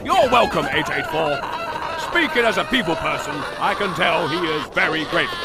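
A quirky cartoon scientist, played by a man, high in pitch and very lively. He lectures in a smug, mock-instructional way with awkward asides, over loud music. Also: fairly quick, close to an everyday voice. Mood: smug, chipper. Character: quirky deadpan male mad scientist lecturer. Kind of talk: comedy science narration.

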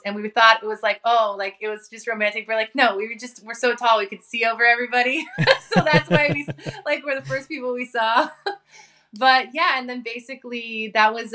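A woman's voice: fairly high pitch, sharp tone. A woman in her forties from Hawaii, talking as an interview guest in a chatty, casual way with many fillers and frequent laughter. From Hawaii, United States. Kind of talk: casual conversation.

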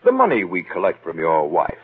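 A man playing a smug, scrawny little blackmailer in a 1940s radio drama, with falsely genial, avuncular politeness and needling calm. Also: low-pitched, a little fast, slightly rough, heavily acted. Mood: coolly calculating. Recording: tinny old radio broadcast.

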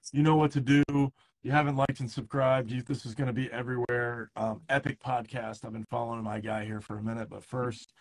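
A man's voice: mid-range voice, level tone, fairly quick, rough recording. A man in his thirties hosting a baseball podcast, talking loosely with lots of fillers and restarts. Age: 30s. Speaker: podcast host. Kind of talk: casual interview chat. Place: San Diego.

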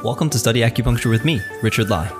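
A man's voice: mid-range voice, rapid-fire, music in the background. A man in his thirties from New hosting a podcast, speaking with earnest encouragement straight to the listener. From New Jersey, raised in New York. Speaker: podcast host and acupuncturist. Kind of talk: motivational podcast talk.

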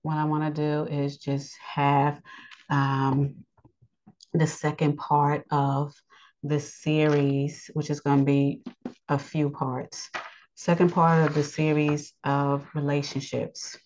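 A woman teaching a scriptural lesson on her podcast, her voice scratchy, talking in a rambling way with many pauses and ums. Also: low voice. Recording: dull lo-fi sound.

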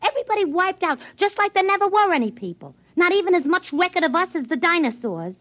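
A gushing, naive showgirl with a very high, bouncy voice. She is breezy and slangy but stubbornly earnest, straight out of a classic radio drama. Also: big pitch swings, fast talker, a bit bright, exaggerated voice.

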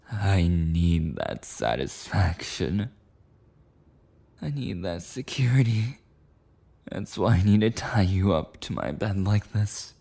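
Man acting a husky, obsessive yandere boyfriend. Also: deep voice, warm tone, exaggerated voice. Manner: breathy rambling, often dropping into whispers. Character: clingy, obsessive, insecure possessive yandere boyfriend. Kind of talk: intimate boyfriend ASMR roleplay.

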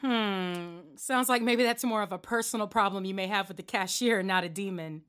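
A woman's voice: medium pitch, normal speed, a bit bright, lightly acted. A woman playing an earnest new late-night radio host. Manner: earnest, slightly prim. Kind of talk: audio drama acting.

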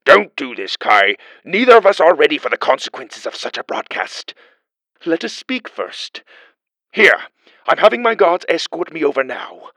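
A man voicing an old, heavy-set, irritable male chancellor who blusters loudly. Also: a little high, very animated, normal speed, dry recording, pitched above the performer's own voice, heavily acted, rough recording. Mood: blustering.

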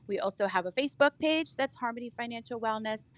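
A woman guest giving financial advice in a clear, advising manner and repeating words for emphasis. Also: fairly high pitch, lively intonation, fast. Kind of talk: interview advice.

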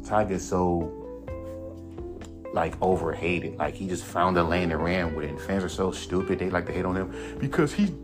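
A man in a casual podcast monologue, blunt and profane, talking in a fairly low, monotone voice. He rants with animated swings of emphasis. Music plays clearly underneath him. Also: moderate pace. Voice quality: self-described monotone voice. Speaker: podcast host. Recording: music playing behind the voice.

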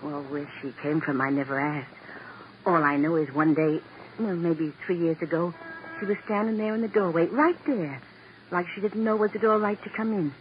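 An actor playing a sentimental, rambling booking agent with a folksy, plaintive manner in a 1950s radio drama. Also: male voice, a little high, moderate pace, natural voice. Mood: mournful, wistful. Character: sentimental, chatty male showbiz booking agent. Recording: muffled, hissy old radio recording.

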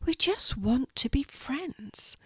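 A woman voicing a tiny, timid male spider in a children's storybook, gentle and sad, with a plaintive, tentative delivery. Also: high-pitched, lively intonation, slow, husky, dry recording, whispered, voice pushed up, exaggerated voice.

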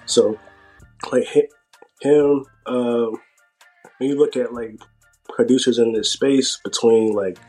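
An American man speaking casually as an interview guest, with a halting delivery and a slight speech impediment. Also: mid-range voice, fairly even intonation, mellow, soft background music, rough recording. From Georgia, United States. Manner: halting, filler-heavy delivery with self-described speech impediment. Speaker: podcast guest, hip hop artist.

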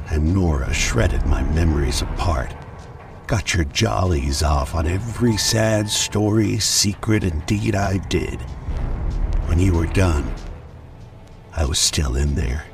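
An actor playing a cruel, mocking half-machine mercenary villain in a radio drama, slow and menacing in his taunts. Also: very deep voice, distant mic, over music, heavily acted. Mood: bitter taunting.

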